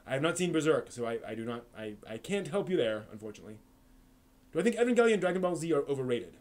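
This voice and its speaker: A man's voice: on the high side, very animated, very fast, a little mellow. A man hosting a live stream, chatting casually and answering viewer questions, with lots of fillers and restarts. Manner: rambling, frequent fillers and restarts.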